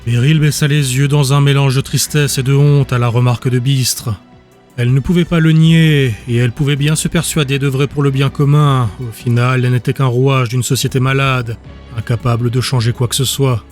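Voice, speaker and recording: Male narrator, scripted audiobook reading, measured, even delivery, soft background music. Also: medium pitch. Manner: steady, measured storytelling.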